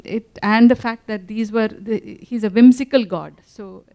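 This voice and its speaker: A woman, an author answering questions in a relaxed conversation, often restarting her sentences. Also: a little high, measured pace. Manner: animated, many restarts and fillers. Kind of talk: conversational interview.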